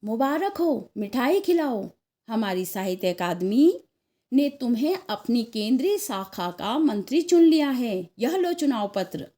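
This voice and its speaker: A woman voicing a pompous, excitable male government secretary in a satirical story, exclaiming in an officious way. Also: fairly high pitch, measured pace. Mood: congratulatory. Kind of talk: dramatized satirical short story reading.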